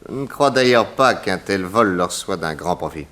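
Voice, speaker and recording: An actor playing a shrewd, courteous detective who questions people with theatrical confidence, in a 1960s radio serial. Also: male voice, low voice, lively intonation, normal speed, a bit bright. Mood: feigned casualness. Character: shrewd, probing, confident American male detective. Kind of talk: 1960s French radio drama acting.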